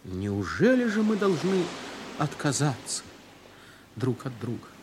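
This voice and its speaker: Young fiancé, expressive pitch, sincere, theatrical, noisy vintage radio. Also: male voice, unhurried. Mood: tender, worried. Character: earnest young fiancé, dutiful nephew. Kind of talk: 1960s Soviet radio play acting. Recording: noisy old 1960s radio recording.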